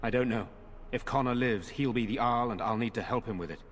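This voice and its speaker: An actor playing a worried, earnest noble younger brother in a video game. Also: male voice, medium pitch, fairly quick, slight background noise, close to an everyday voice. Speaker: game character.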